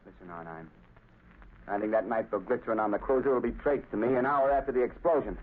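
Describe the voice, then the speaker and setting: An actor playing a nervous, desperate saboteur in a 1930s radio drama, talking in a tense, blustering, fast way. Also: male voice, mid-range voice, close to an everyday voice. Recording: tinny old radio recording.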